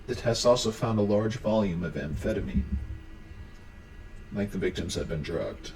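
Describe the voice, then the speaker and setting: A man's voice: fairly low pitch, lively intonation, moderate pace, a little mellow, poor audio. Man narrating a horror explainer, faint background noise. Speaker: video narrator.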